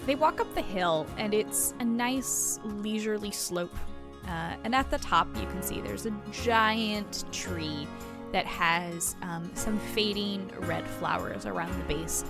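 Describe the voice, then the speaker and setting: A woman's voice: mid-range voice, unhurried, vocal fry, over music. A woman narrating an improvised tabletop roleplaying game as the game master.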